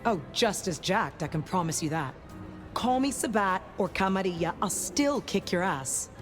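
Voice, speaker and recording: A woman's voice: mid-range voice, animated, moderate pace, soft background music, close to an everyday voice. An actress voicing a tough, cocky female vampire enforcer in a video game, brash and streetwise.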